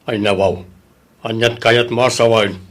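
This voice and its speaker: A man with an Ilocano accent playing a defensive, exasperated village captain and husband in an audio drama, his voice husky. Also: medium pitch, steady tone, unhurried, fairly bright tone, close to an everyday voice. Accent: Ilocano, northern Philippines.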